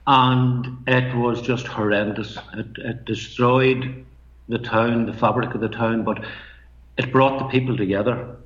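A middle-aged man with a Northern Irish accent, a poet and storyteller, speaking softly and reflectively in a halting, measured way. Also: mid-range voice, steady tone. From Northern Ireland. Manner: soft-spoken, measured, halting restarts. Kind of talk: reflective interview talk. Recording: muffled, roomy remote line.

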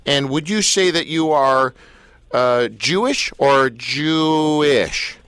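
A man hosts a radio talk show and interviews a guest. His delivery is joking and teasing, and his pitch swings widely up and down. He sounds close and dry, as if recorded in a studio. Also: lively intonation, takes it slowly, fairly bright tone, clear, resonant tone, no echo. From Canada.

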